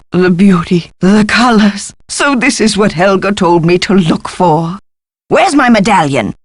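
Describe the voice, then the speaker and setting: An actress playing an eccentric, excitable, absent-minded woman professor in a video game, with a flighty, pompous and exclamatory delivery. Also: medium pitch, slightly rough, no echo, heavily acted. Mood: excited wonder. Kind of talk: theatrical video game voice acting.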